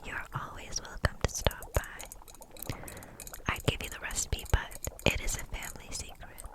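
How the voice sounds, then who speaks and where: A woman playing a gentle, kindly kitchen witch in an ASMR roleplay, speaking in a soft, soothing, nurturing whisper. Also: unhurried, natural voice.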